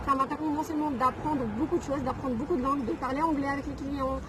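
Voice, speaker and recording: A woman's voice: high-pitched, steady tone, quick pace. A woman in her thirties from Algeria, telling her story in a casual interview with frequent fillers. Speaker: guest. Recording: noisy background.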